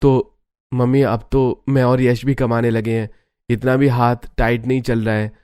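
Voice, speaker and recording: A man's voice: medium pitch, little pitch movement, normal speed, a little mellow, clear, resonant tone, no echo, studio quality, lightly acted. An actor playing a defiant young adult son who is tired of his family's rules, in a cartoon family drama. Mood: insistent. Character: grown son, fed up and defiant. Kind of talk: cartoon voice acting.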